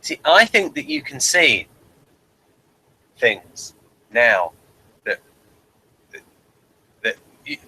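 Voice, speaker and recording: A man hosting a casual review discussion, talking in a halting way with repeated words. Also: mid-range voice, sing-song, bright, forceful tone, breathy edge, rough recording.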